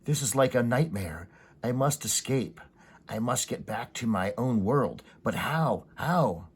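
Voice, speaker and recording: A man's voice: mid-range voice, animated, unhurried, slightly rough. A man voicing a panicked, desperate human explorer from a comic story, with dramatic, urgent declarations. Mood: tense, scheming.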